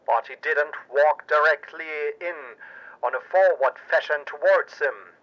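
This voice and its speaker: A man narrating a pulp audio drama in a theatrical, suspenseful and deliberate way. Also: on the high side, a bit bright, dull audio. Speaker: narrator and storyteller.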